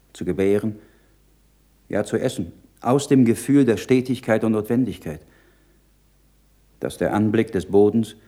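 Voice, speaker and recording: An actor playing an earnest, troubled learned monk in a radio play, speaking in a measured, solemn, rhetorical way. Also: male voice, on the low side, mellow. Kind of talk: German radio play acting.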